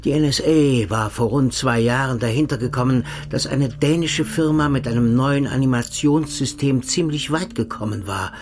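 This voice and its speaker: An actor playing a cold, smug male secret-service agent in a radio play. He speaks calmly and mockingly, sometimes dropping to a hushed, sinister tone. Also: medium pitch, normal speed, hardly any pauses, a little hiss or hum, lightly acted. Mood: coldly mocking. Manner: calm, mocking, sinister, drops to hushed tones.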